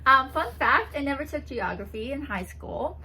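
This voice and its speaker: Giggly excitable woman doing an unboxing, kinda high voice, bounces all over. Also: moderate pace, slight background noise, rough recording. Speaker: vlogger unboxing a swap box. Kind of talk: casual unboxing chat to camera. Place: indoors at home, seated on a bouncy ball.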